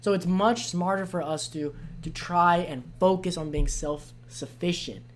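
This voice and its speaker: An American man with a hoarse voice, hosting a casual podcast and chatting loosely while reading out viewer comments. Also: high-pitched, measured pace, slight background noise. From United States. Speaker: podcast host.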